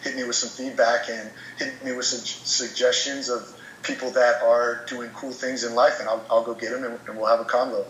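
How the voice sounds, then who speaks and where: A man's voice: mid-range voice, little pitch movement, a little fast, fairly bright tone, echoey room, slight background noise, low-quality recording. A man speaking as a podcast guest in casual chat, earnest and motivational, often restarting words as he goes.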